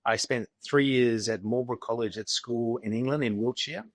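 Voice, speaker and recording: A man's voice: mid-range voice, fairly even intonation, moderate pace, no room sound. A man from Sydney with an Australian accent, talking informally and anecdotally as an interview guest. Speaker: interview guest, investment executive. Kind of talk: conversational storytelling.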